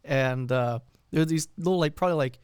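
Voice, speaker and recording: Man, podcast co-host, slightly raspy, joking, rambling, raised pitch, dry sound. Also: fairly quick, no echo. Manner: jokey, laughs often, rambling with fillers. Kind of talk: casual chat.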